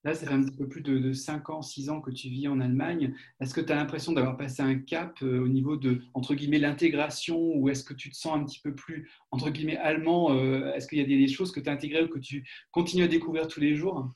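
A man's voice: fairly high pitch, fairly even intonation, fast, hardly any pauses, darkish tone. A man from France hosting an interview, asking long winding questions with frequent restarts. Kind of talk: conversational interview.

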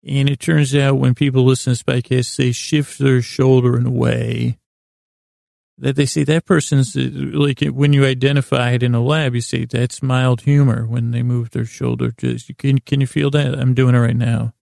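A man with a heavily gravelly, fry-laden voice rambles through a bedtime podcast monologue. His delivery stays flat and low-key, full of stammers and meandering restarts. The sound is close and dry. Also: no room sound. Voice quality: gravelly, creaky. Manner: meandering, halting, stammering with repeated false starts; drowsy, droning, low-key. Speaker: podcast host.

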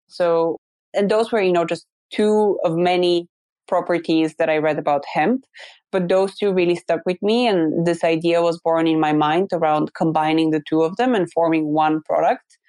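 A woman's voice: low-pitched, darkish tone, no room sound. A woman from Bulgaria speaking as an interview guest, telling her personal story in an articulate, reflective way. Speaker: interview guest, company founder.

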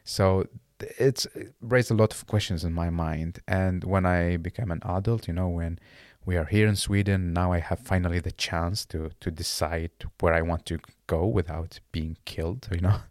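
A very deep-voiced man in his late twenties, originally from Iraq and not an English speaker. He talks haltingly, restarting phrases as he searches for words. The sound is dry and close, with little room around it. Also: steady tone, a little mellow, dry recording. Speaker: guest. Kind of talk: casual interview conversation.

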